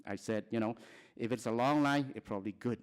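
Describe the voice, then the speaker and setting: Smooth-voiced man from Cambodia, halting. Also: mid-range voice. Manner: plain, halting talk with frequent restarts and short laughs. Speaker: conference speaker. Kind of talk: personal testimony at a conference.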